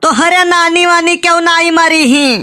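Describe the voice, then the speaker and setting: A nagging village creditor acted by a woman in a comic cartoon, suspicious and sarcastic with an Awadhi accent. The voice is high and forceful, the words slow and insistent. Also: fairly even intonation, exaggerated voice. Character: suspicious, nagging village moneylender demanding repayment. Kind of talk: exaggerated comic cartoon acting.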